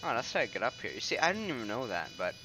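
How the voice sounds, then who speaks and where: A man's voice: medium pitch, very animated, rapid-fire, over music, low-quality recording. A young man giving casual, rambling commentary over gameplay, sounding a bit congested. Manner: rambling, filler-heavy, pointing at footage. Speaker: gaming commentator. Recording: game sounds and gunfire behind.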